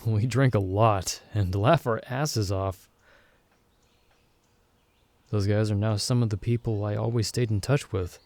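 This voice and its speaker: A man acting as a caring young friend in a comfort roleplay. The voice is on the low side and soft-toned, mellow and close in a dry space. He talks gently and reassuringly, with thoughtful hesitations. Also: fairly even intonation, no echo. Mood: encouraging, earnest. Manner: gentle, soothing, hesitant pauses and soft chuckles. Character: caring, reassuring young male friend stranded in another world. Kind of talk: ASMR comfort roleplay, intimate acted monologue.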